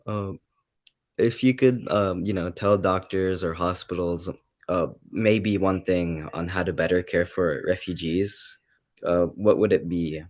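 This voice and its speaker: Man, very low voice, dry lo-fi sound, rambling interviewer. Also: fairly even intonation, unhurried, no echo. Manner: hesitant, rambling questions with many fillers. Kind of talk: interview questions.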